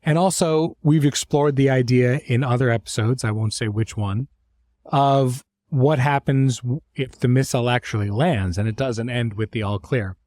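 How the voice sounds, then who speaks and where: A man speaks as a podcast host in an informal, conversational way, slipping in dry asides. His pitch is mid-range, and the recording is close and dry with very little room sound. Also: moderate pace, a little mellow, no echo. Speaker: podcast host and series creator. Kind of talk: casual podcast introduction and conversation.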